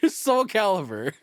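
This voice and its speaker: A man's voice: high voice, a bit bright, dry recording, studio quality. A man giving casual live reaction commentary as a streamer, blunt and profane, rambling through his opinions as he thinks aloud.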